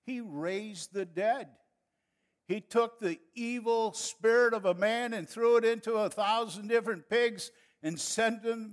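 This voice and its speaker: An older man preaching with a northern Wisconsin accent, his voice sitting a little high for a man. He talks to the congregation in a folksy, chatty way and builds to fervent preaching. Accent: Upper Midwest (northern Wisconsin). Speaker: pastor. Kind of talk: sermon.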